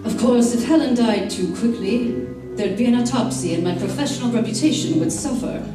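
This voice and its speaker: Composed villainess salon owner, played by a woman, highish voice, radio drama, cavernous stage sound. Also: fairly quick, over music, natural voice. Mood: coolly scheming. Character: scheming, composed female salon owner plotting murder. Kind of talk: old-time radio theater acting, narration and dialogue. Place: theater stage, recorded from the audience. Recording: very echoey theater, distant mic.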